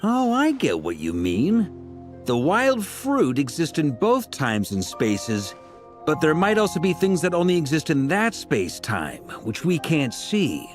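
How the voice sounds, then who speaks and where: Upbeat, lively young adventurer. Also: male voice, a little high, a little slow, soft background music. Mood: thoughtful. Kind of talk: video game voice acting.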